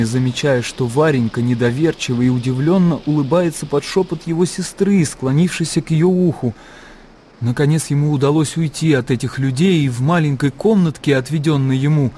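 Narrator: man, soft dark tone, slightly raised pitch, scripted literary storytelling. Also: slight background noise.

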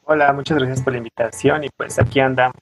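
Casting: young Mexican man, podcast guest, explanatory and conversational, frequent tag questions, average pitch. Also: steady tone, fast. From coastal Oaxaca, southern Mexico. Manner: explanatory, often ending phrases with a questioning tag. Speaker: podcast guest, student and weaver. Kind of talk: conversational interview answers.

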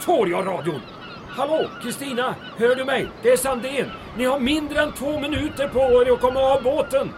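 A man plays a fretful aide in a comic radio play. His voice is high, with a worrying, wry delivery, and a clear rumbling noise runs behind him. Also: a crowd in the background. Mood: urgent. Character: anxious, dryly sarcastic male aide to a commandant. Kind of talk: comic audio drama acting.

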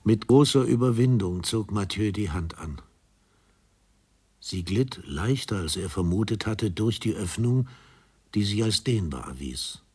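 A man narrating a dark literary radio drama in a grave, measured and precise manner. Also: lowish voice, expressive, husky.

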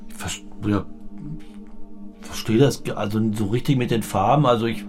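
An actor playing a plain-minded, defensive husband in an improvised radio comedy, talking in halting, colloquial bursts. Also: male voice, lowish voice, darkish tone, faint music behind, lightly acted. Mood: halting, muddled. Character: plain-minded husband who finds life simple, defensive.

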